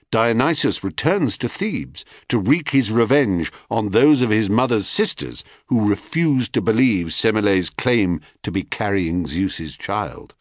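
A man reading a scripted myth narration in an expressive, theatrical way, with wry, dry asides. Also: on the low side, no echo. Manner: wry, dryly witty asides. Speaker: audiobook narrator.

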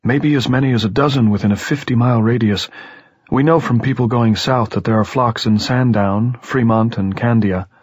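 A man voicing an elderly headmaster who is courtly, grave and resolute, with formal, pedantic, measured diction in an audiobook. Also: medium pitch, darkish tone, slightly rough, dry recording, pitched above the performer's own voice, close to an everyday voice. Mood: professorial, knowing.

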